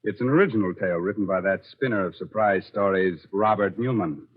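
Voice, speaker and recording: A man's voice: deep voice, fairly quick. An American man playing a creepy, sardonic horror host on 1940s radio, wry and theatrical in his delivery. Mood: ghoulish, wry. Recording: muffled 1940s radio broadcast with music.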